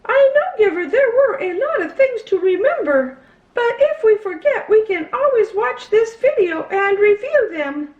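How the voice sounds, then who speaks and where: Cheerful, friendly kids' show character voiced by a woman, slow singsong delivery, dull roomy sound. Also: extremely high pitch, sing-song, heavily acted. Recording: dull sound in an echoey room.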